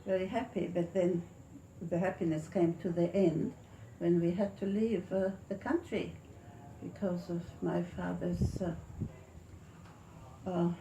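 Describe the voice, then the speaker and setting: Elderly Latvian woman, halting, mellow voice. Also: on the low side, steady tone. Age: 80s. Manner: halting, searching for words. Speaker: interviewee recalling own life. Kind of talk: oral history reminiscence. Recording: echoey room with faint noise.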